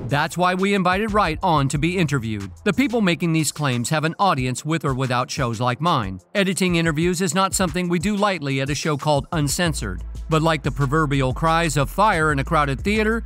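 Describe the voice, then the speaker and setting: Male narrator, higher-pitched, lively intonation, sassy gossip commentary, dramatic conversational delivery, faint music underneath.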